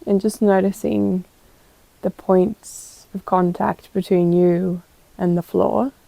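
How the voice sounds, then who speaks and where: A woman guiding a meditation as a yoga teacher, calm and gentle, giving unhurried instructions with pauses. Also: medium pitch, creaky, dry recording, low-quality recording. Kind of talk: guided meditation.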